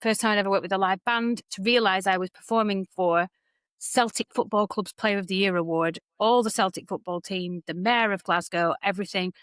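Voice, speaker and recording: A woman's voice: medium pitch, fairly quick, clean tone, no echo. A woman in her forties from the UK, a guest entertainer talking candidly in an interview and acting out quoted voices. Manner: candid and animated, quotes others in lively voices. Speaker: guest entertainer and talent mentor.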